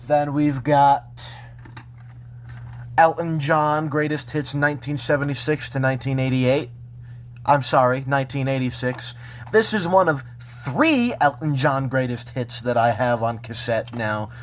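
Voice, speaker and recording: Male vlogger, casual show-and-tell, unhurried and halting, with some background noise. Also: medium pitch. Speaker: vlogger showing a personal collection.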